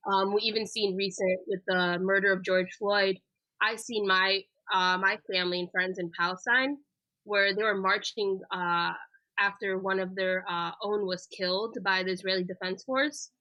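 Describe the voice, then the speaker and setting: A woman's voice: mid-range voice, steady tone, moderate pace, bright, forceful tone, dry recording, highs cut off. A woman in her twenties, raised in the United States, speaking earnestly as an interview guest, with frequent ums and uhs. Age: 20s. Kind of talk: casual spoken interview.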